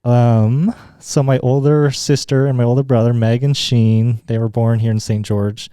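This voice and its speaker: Chill young utah dude guesting on a chat, smooth low-key voice, says um a lot. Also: male voice, level tone, normal speed, dark tone, no echo, clean studio sound. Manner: easygoing, hesitant with fillers, agreeable. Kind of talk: casual chat.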